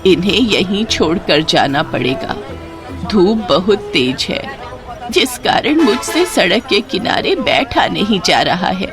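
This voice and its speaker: A woman's voice: medium pitch, big pitch swings, slow, over music. A woman voicing a kindly, weary old village grandmother in a Hindi story cartoon. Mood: weary, dejected.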